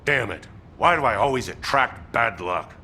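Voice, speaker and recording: A gruff, protective male fighter from a video game. His voice is sharp and forceful at a middle pitch, blunt and agitated, with faint noise behind it. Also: expressive, normal speed, exaggerated voice. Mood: resigned. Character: hot-headed, protective, gruff male construction-corps fighter. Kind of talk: dramatic video game voice acting.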